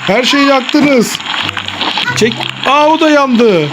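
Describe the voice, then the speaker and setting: A woman's voice: high voice, expressive, takes it slowly, loud music behind the voice, rough recording. A woman improvising playful pretend play with children, exclaiming and repeating her instructions.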